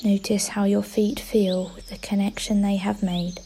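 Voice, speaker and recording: Woman meditation guide. Soft-toned and mellow, unhurried short instructions, faint birdsong and outdoor ambience behind her. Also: medium pitch, level tone, slight background noise. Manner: gentle, unhurried, pausing between short instructions.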